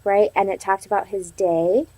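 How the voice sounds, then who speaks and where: A woman's voice: mid-range voice, steady tone, normal speed, mellow, no room sound, low-quality recording. A woman teaching young children in a read-aloud lesson, prompting them with simple questions and reading in an instructional way. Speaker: elementary teacher.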